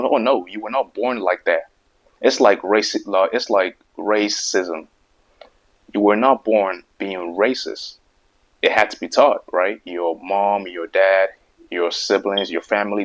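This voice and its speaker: A man's voice: on the low side, poor audio. A man hosting his own video who stutters and repeats words, making emphatic points over and over in a casual monologue. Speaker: video host. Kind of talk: casual opinion monologue to camera.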